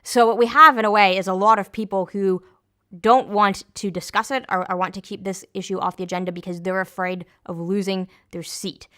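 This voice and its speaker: A woman's voice: medium pitch, fairly even intonation, fairly quick, sharp tone. An Irish woman explaining things in a documentary interview, with fillers and self-corrections.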